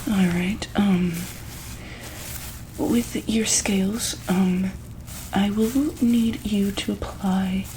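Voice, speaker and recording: A woman playing a calm, caring monster-hospital doctor in an ASMR roleplay, speaking softly and hesitantly in a breathy whisper. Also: mid-range voice, slight background noise, low-quality recording. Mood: calm, attentive. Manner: hesitant, gentle, soft-spoken.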